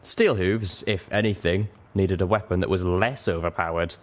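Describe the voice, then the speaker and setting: A man's voice: low-pitched, steady tone, moderate pace. A man reading a story aloud in dramatic first-person narration. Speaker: reader of fan fiction.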